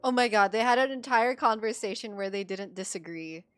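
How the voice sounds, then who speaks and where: A woman's voice: medium pitch, fairly quick, fairly bright tone, clear, resonant tone. A woman streaming a game, reacting in casual, informal, fannish live chat. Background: game music.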